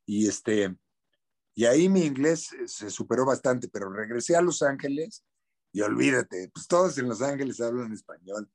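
A man's voice: mid-range voice, animated, normal speed, darkish tone. Candid Mexican man with slangy speech. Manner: candid, slangy, repeats words while searching. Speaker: actor, interview guest. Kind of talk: casual interview.

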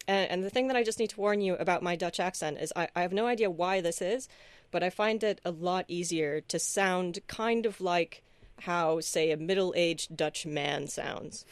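A woman's voice: lowish voice, dry recording. A woman on a podcast panel, chatting casually in a self-deprecating, hesitant way.

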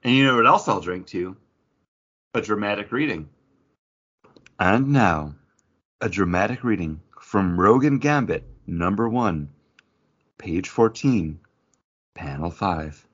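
A man with a fairly low voice chats casually as a podcast co-host about his hobby. The recording is a little dull and lo-fi. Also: lively intonation, measured pace, pauses often, fairly bright tone. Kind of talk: casual comic book podcast chat.

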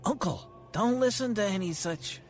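A man's voice: high-pitched, animated, normal speed, faint music behind. A man playing a skeptical, impatient nephew in a radio drama, blunt and scornful.

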